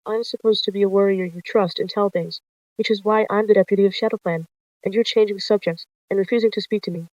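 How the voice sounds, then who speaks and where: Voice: medium pitch, fairly even intonation, fast talker, darkish tone, dry recording, lightly acted. A narrator voicing a protective male cat deputy in an animal roleplay story, insistent and confrontational. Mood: frustrated, hurt. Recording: dull lo-fi close dry recording.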